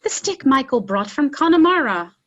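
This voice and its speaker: Casting: actress as capable young country daughter, high-pitched, earnest, reproachful, rural tragedy. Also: female voice, sing-song, normal speed, dull audio. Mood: urgent, concerned. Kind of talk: stage drama read as virtual play.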